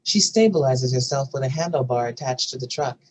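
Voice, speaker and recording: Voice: mid-range voice, fairly quick, darkish tone. A narrator reading scene headings and action lines from a screenplay at a table read. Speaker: narrator reading stage directions.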